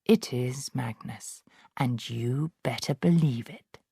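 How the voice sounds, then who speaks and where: A woman voicing the ghost of a man, speaking slowly and menacingly. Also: vocal fry, warm tone, breathy edge, dry recording, studio quality, heavily acted. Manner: slow, low, menacing.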